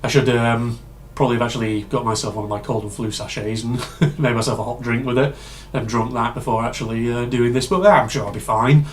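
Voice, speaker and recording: A man hosting a casual reaction video, rambling and chatty with lots of fillers, his voice slightly hoarse and congested from a cold. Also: mid-range voice, brisk, few pauses, slight background noise.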